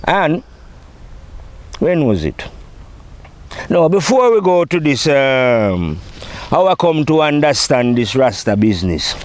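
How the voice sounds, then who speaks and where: A man talks in a smooth, clear voice that sits a little high. He is middle-aged or older and rambles casually through his memories, often checking that the listener follows. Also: big pitch swings, slow pace, pauses often. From Jamaica. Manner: rambling, conversational, often checking in with "you know". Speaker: storyteller recounting his own life. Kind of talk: casual spoken reminiscence.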